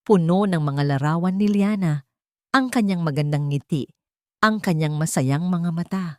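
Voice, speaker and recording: A woman reads a dramatic story as narrator. Her voice sits a little low for a woman, and the sound is very dry, with almost no room around it. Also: moderate pace, no room sound.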